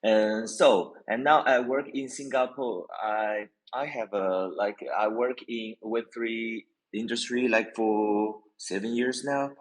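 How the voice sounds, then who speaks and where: A man, not an English speaker, introducing himself as a panel guest in modest, halting English. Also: on the low side, fairly even intonation, poor audio. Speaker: panel guest, company executive.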